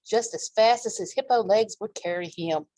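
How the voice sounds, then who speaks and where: A woman's voice: mid-range voice, normal speed, mellow, dry recording. A woman reading aloud to young children as a storytime host, with chatty asides and questions for her listeners.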